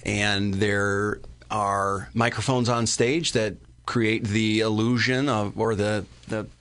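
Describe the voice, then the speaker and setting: A man in his thirties, an interview guest speaking in a halting, thoughtful, conversational way. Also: fairly low pitch, steady tone, fairly bright tone. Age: 30s. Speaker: interview guest, amateur stage actor.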